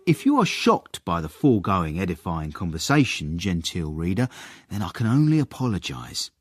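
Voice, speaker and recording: An actor playing a sly, knowing manservant who narrates a period radio drama with wry, mock-grand flourishes. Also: male voice, low voice, sing-song, normal speed, a little hiss or hum. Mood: wry, confiding.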